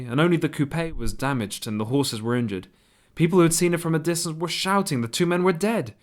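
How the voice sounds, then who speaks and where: A composed 19th-century composer played by a male actor tells of his travels as if writing a letter. His delivery is measured and reflective, with a mid-pitched male voice, in radio play style. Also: close to an everyday voice. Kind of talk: dramatised reading of a personal letter.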